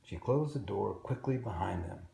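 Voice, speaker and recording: A man reading a picture book aloud to young children in an expressive, simple storytelling way. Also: on the low side, moderate pace, vocal fry. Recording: roomy echo with faint background noise.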